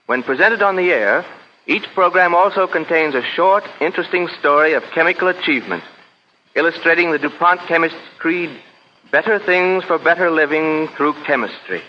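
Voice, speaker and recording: A male announcer gives a formal, scripted sponsor message in vintage radio style. His voice is slightly high. The old recording is dull, with faint noise. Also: moderate pace.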